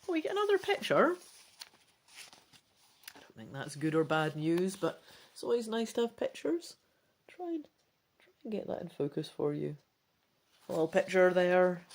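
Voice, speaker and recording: A woman's voice: on the low side, big pitch swings, normal speed, pauses often, darkish tone. A woman presenting a gamebook playthrough, switching between theatrical reading and chatty casual commentary. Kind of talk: dramatic reading aloud mixed with casual commentary.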